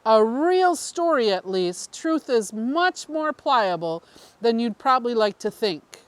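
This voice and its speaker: A woman reads as a smooth-talking male politician in a story, secretive and persuasive. The delivery is slow and confiding, with lively swings of pitch in a high register. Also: dry recording. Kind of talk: audiobook character dialogue.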